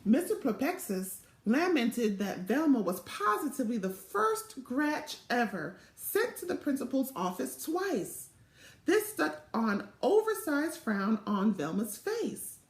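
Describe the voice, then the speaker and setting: Expressive high-pitched woman reading a storybook. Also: slow. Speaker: volunteer storybook reader. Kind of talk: read-aloud children's storybook narration. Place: small indoor room or home office. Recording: roomy, echoing home webcam recording.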